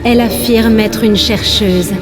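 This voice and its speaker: Woman as a reverberant, high-voiced alien handmaiden. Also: fairly even intonation, lightly acted. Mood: dutiful reporting. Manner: formal, deferential, measured. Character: devoted female alien servant creature of the swarm queen. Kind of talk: dramatic video game acting. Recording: long reverb, music behind.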